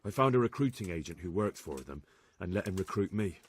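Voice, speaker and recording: An actor playing an earnest young medieval spy in a game, plain-spoken and respectful. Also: male voice, deep voice, lively intonation, brisk, slight background noise. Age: young adult. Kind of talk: video game cutscene acting.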